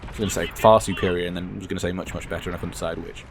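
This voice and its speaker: A hoarse, croaky man with a very deep voice talks casually over gameplay, rambling and stopping to correct himself. His opinions come out blunt and unfiltered. Gunfire and engine noise sound clearly behind him. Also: fairly even intonation, very fast. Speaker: gaming commentator.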